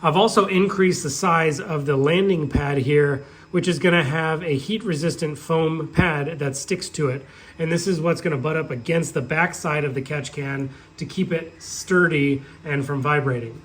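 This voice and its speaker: A man's voice: a little high, normal speed. Sick-sounding man in a workshop. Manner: steady, explains step by step. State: sick, nearly lost voice, sore throat. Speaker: shop owner showing parts. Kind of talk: casual product update. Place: workshop with a 3D printer running. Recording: faint printer hum behind. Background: faint printer noise.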